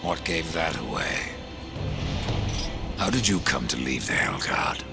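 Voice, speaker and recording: A grim rider of death from a fantasy game, with an extremely low, gravelly voice. He is terse and dry, yet his pitch swings with menace. Loud cinematic music plays behind him. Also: sing-song, a little slow, full character voice. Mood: dry, blunt. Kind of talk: dramatic game cutscene acting.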